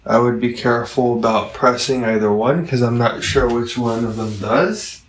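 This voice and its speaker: A man giving a casual, rambling product review, halting and digressive, with long pauses. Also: medium pitch. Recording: roomy echo, distant microphone.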